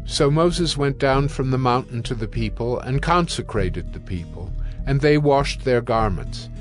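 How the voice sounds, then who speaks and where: A male narrator reads scripture for an audio drama. His medium-pitched voice is measured and solemn, with clear phrasing and soft music in the background. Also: animated.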